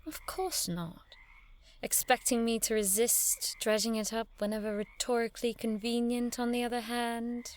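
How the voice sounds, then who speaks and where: A woman's voice: a little high, steady tone, normal speed, close to an everyday voice. A woman acting in an audio drama as a witty young noblewoman knight with an arch, teasing, theatrical manner.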